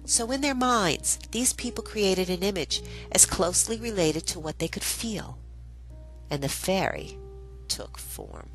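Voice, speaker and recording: A woman reading a first-person story as scripted narration, with deliberate, dramatic phrasing. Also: mid-range voice, faint music behind. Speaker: storyteller narrating own memoir.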